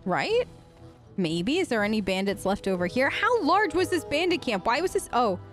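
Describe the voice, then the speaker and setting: High, animated woman gamer chatting in character. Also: normal speed, faint music behind. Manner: chatty, musing aloud. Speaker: let's play host, role-playing her game character. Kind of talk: casual in-character game commentary.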